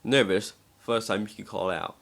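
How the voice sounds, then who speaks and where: A man's voice: fairly low pitch, fairly even intonation, fairly quick, gravelly, a bit bright, a little hiss or hum. A man giving an amateur scripted narration of a story, now and then stumbling over the words.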